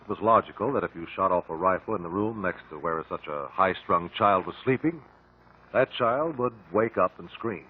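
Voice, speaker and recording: Low, husky American investigator. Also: male voice, expressive. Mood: explanatory. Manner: measured, formal, precise. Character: calm, precise male investigator piecing out truth. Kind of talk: 1950s radio drama acting. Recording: muffled 1950 radio recording.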